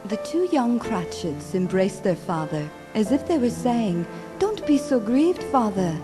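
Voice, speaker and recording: A woman narrating a ghostly radio drama in a solemn, measured, dramatic storytelling style. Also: fairly high pitch, very animated. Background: music.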